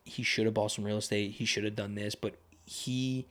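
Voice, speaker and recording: Low-voiced young man, rambling casually. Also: steady tone, normal speed, fairly bright tone. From United States. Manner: rambling, loose, lots of fillers and restarts. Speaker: podcast guest. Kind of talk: casual conversation. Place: podcast studio.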